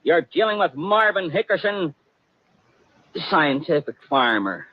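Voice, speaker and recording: An actor playing a cocky, blustering comic farmer in a 1950s radio drama. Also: male voice, on the high side, big pitch swings, a little slow, slightly rough, exaggerated voice. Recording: muffled old 1950s radio broadcast.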